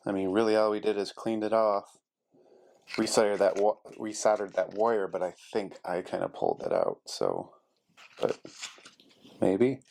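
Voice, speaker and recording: Guy with a low crackly voice talking thru a diy fix as he works, bit of noise behind him. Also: lively intonation, normal speed, creaky, pauses often, darkish tone. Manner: thinking aloud while working. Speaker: DIY repair video host. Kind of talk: casual hands-on repair narration. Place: indoors at a carpeted workspace desk.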